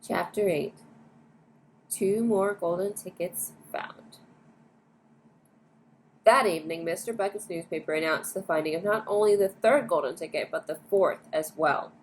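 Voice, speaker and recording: A woman's voice: fairly low pitch, unhurried, pauses often, poor audio. A woman storyteller reading a children's bedtime story aloud. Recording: clear background noise.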